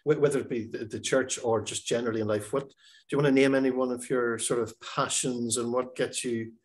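A middle-aged man hosting an interview over a video call, warmly hesitant with many pauses and restarts. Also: medium pitch, fairly even intonation. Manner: halting, many fillers and restarts, encouraging. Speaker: host. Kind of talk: interview host. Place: home room.

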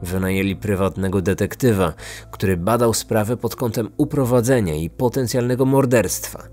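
Low-voiced male true-crime narrator. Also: moderate pace, a little mellow. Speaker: true-crime podcast host. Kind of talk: scripted documentary narration. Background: music.

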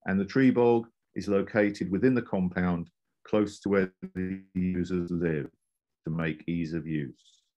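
A man's voice: low voice, steady tone, a little slow, a little mellow, muffled recording. A man narrating a scripted slide presentation as a presenter.

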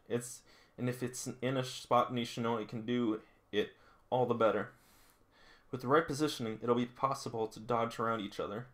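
A man's voice: medium pitch, a bit bright. A man reading a manga aloud with casual commentary, sometimes tripping over words and going back over them. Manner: stumbles and rereads lines, chuckles at the story. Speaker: manga read-along host. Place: small indoor room with figurines.

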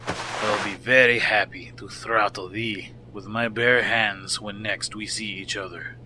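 Man acting a sharp, defiant captive warrior. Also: fairly low pitch, steady tone, a little slow, a little hiss or hum, rough recording. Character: defiant, angry captive warrior, archaic speech.

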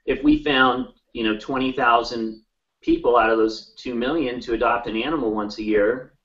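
A man's voice: medium pitch, normal speed, a bit bright. Distant, muffled man on a video call. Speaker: podcast guest, animal advocate. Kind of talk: casual interview. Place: small room at home. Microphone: video call on computer, off mic.

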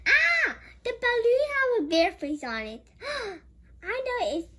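A woman's voice: very high voice, huge pitch swings, slow, bright, forceful tone. A female host reading a picture book aloud for children, adding playful comments in a childlike, exclamatory, simple sing-song manner.

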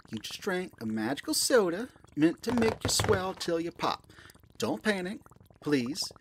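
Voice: medium pitch, background noise. An amateur actor voicing a flirty, motherly pink balloon elephant who serves at a soda bar, with a folksy, coaxing manner in an audio play. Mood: flustered, reassuring. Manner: folksy, coaxing, calls listener sweetie and dear. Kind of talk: amateur audio play, second-person roleplay monologue.

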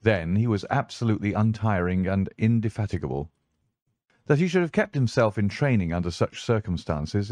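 A man narrates a literary audiobook in a formal, measured way. His voice sits fairly low, and the recording is dry with little room around it. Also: a little mellow, no room sound.

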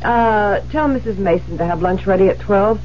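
An actress playing an imperious, irritable middle-aged widowed novelist in a theatrical, impatient 1970s radio drama style. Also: female voice, mid-range voice, normal speed, darkish tone, lightly acted. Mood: weary, brisk. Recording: muffled, noisy 1970s radio broadcast with hum.

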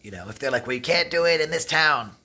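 A man in his thirties with a rough voice, telling rambling, streetwise stories casually to the camera and acting out bits of dialogue. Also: fairly high pitch, fast talker. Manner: rambling, streetwise, animated retelling with quoted dialogue. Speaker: travel vlogger. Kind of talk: casual storytelling vlog, talking to camera. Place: inside an open derelict wooden shack. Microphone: phone or camera at arm's length.

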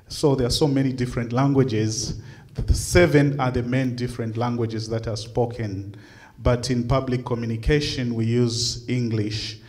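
Zambian bishop lecturing at a podium mic, slow and careful, lots of uhs. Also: male voice, mid-range voice, darkish tone. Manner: measured, with frequent uh and um pauses. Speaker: bishop giving a conference talk. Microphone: podium microphone.

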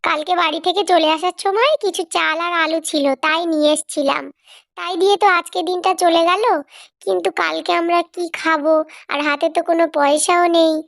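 A woman's voice: very high voice, steady tone, brisk, creaky, fairly bright tone, dry recording, clean studio sound, heavily acted. A woman voicing an anxious, caring village wife in a cartoon. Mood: worried.

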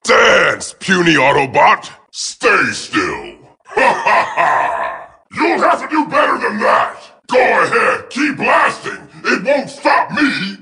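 A fierce robot soldier barking threats and mockery in a video game. The voice is forceful and shouted, swinging wildly in pitch, and rings in a roomy echo. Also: male voice, huge pitch swings, slow, gravelly, exaggerated voice. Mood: menacing taunts. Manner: shouting, menacing, mocking. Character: fierce, taunting male robot soldier, bloodthirsty and scornful. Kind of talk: video game combat barks.

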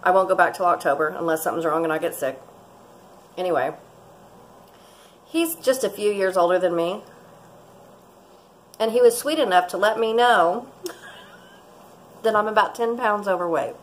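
A woman's voice: medium pitch, normal speed, pauses often. Casting: woman in her 40s, chatty rambling vlogger, mid-range voice, faint kitchen noise. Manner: chatty, rambling, laughs often. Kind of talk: casual cooking vlog chat. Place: home kitchen, indoors. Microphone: fixed camera at close range.

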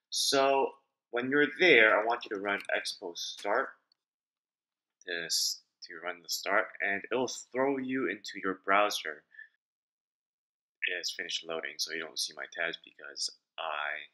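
A man's voice: fairly low pitch, low-quality recording. A young man casually talking through a coding tutorial at his desk, explaining each step as he types. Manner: talks through steps while typing, relaxed and informal. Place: indoors at a desk, small room.

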